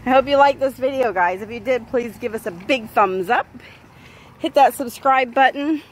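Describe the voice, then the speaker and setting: A woman hosting a pet vlog, chatting casually and playfully to animals and viewers, with sing-song exclamations and drawn-out words. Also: on the high side, a little hiss or hum. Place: outdoors in a backyard by a pool.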